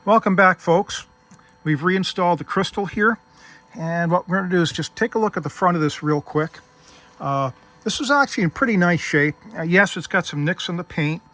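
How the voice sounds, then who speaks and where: A man's voice: high voice, normal speed, pushed and bright. A man hosting a casual, hands-on technical demonstration, explaining things methodically step by step. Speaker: host demonstrating vintage radio gear.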